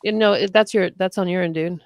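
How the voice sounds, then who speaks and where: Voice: mid-range voice, fairly even intonation, a little fast, creaky, no room sound. A podcast host asking questions in an upbeat, encouraging way and praising a guest's music. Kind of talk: casual podcast interview.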